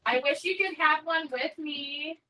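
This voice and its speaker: A young woman from the United States talks in a relaxed, rambling way, dropping "like" into nearly every phrase. Her voice has a bright, crisp edge. She sounds like she's speaking into a laptop on a video call. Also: sits high, unhurried, rough recording. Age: young adult. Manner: chatty, lots of "like" fillers, laughs while talking. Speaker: interview guest. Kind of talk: casual conversation.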